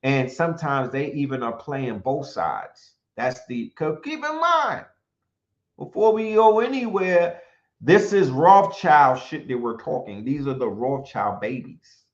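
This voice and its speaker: A man speaking in an animated, emphatic commentary, often throwing in rhetorical questions and sometimes reading from notes. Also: mid-range voice, unhurried. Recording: roomy, dull lo-fi sound.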